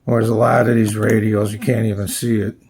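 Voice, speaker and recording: A man giving a casual, unscripted product walkthrough as a reviewer, plainspoken and halting as he thinks aloud. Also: fairly low pitch, little pitch movement, a little mellow, slight background noise. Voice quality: gravelly, husky. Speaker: radio reviewer presenting his channel.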